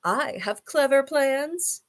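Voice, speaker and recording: A sly, greedy storybook crocodile, acted out by a woman, boastful and full of relish. The words come slowly and drawn out, with big, theatrical swoops in pitch. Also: lively intonation, heavily acted. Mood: gloating. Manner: gloating, drawn-out, relishing menace. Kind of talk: children's storybook character reading.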